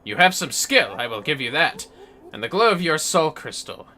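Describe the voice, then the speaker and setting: A man's voice: fairly high pitch, sing-song, normal speed, sharp tone, exaggerated voice. A man voicing a stern, haughty dragoon trainer from a fantasy game, with formal, declamatory delivery. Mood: grudging approval.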